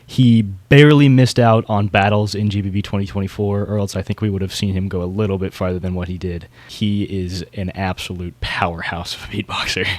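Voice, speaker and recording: A man's voice: low voice, steady tone, fairly quick, hardly any pauses, no room sound. A man hosting a casual podcast, talking informally and knowledgeably about competitors in his field. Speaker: podcast host.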